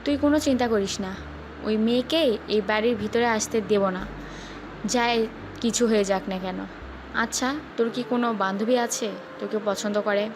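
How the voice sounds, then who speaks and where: A woman's voice: on the high side, fairly even intonation, moderate pace, music in the background, close to an everyday voice. A woman playing a protective, scheming elder sister in an audio love-story drama, firm and full of advice. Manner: firm, advising.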